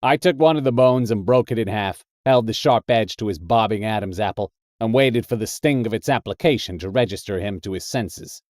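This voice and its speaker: A man voicing a tough, thickset American drifter who narrates his own purgatory story in a deliberate, hard-boiled, colourful way. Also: on the low side, a little mellow, no room sound, studio quality, close to an everyday voice. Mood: brooding, deliberate. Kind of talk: dramatic first-person short story narration.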